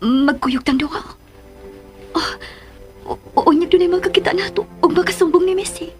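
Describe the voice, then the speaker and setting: An actress playing an anxious, tender widowed mother in love, with a Filipino accent and hesitant, breathy, emotional delivery in a radio drama. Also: very high-pitched, moderate pace, faint music behind. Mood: secretive, wary. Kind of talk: Philippine radio drama acting, sentimental romance.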